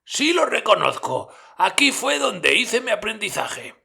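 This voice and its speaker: A man's voice: high voice, wildly swooping pitch, slow, vocal fry, pushed and bright, pitched above the performer's own voice, heavily acted. An old miser from a storybook, stirred and emotional, put on by a male narrator. His voice leaps well above the narrator's own and rings out with raised, heartfelt exclamations. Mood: moved, excited.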